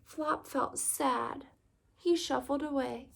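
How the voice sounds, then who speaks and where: A woman reading a children's story aloud as narrator, expressively, with deliberate phrasing for young listeners. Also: high-pitched, steady tone, creaky.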